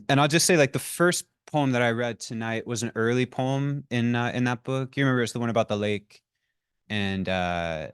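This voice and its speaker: A man's voice: fairly low pitch, smooth, dry recording, studio quality. A man on a poetry reading panel, talking loosely and chattily with lots of "like"s before an audience. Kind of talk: casual panel talk.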